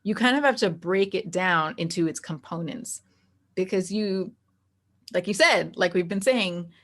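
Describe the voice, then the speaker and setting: A woman with a fairly low voice talks casually as the guest in an interview. She works through her points out loud, often acting out example thoughts as she goes. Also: unhurried. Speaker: interview guest, tabletop game master. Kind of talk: casual, conversational interview talk.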